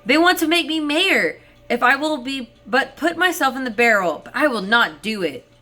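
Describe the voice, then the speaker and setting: A woman's voice: high voice, lively intonation, normal speed, pushed and bright, soft background music, pitched above the performer's own voice. A woman voicing a crafty, poor little peasant man in an emphatic, theatrical storybook manner. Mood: loudly protesting.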